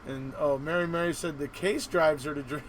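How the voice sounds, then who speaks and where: A man hosting a casual live-stream interview, chatting in a halting, rambling way. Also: on the high side. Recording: steady background noise.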